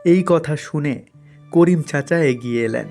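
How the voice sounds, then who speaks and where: Male narrator for cartoon tales, slightly high pitch, scripted storytelling over faint music. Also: unhurried, darkish tone.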